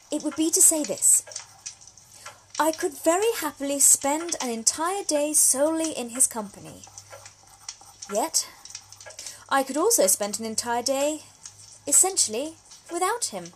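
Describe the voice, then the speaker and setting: A genteel young Victorian woman, played by an actress, confides in a fairly high voice as if reading her own letters aloud. Her diction is formal and precise, witty and frank, in a period audio drama with noticeable background noise. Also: sing-song, measured pace, long pauses, low-quality recording. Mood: wry, confiding.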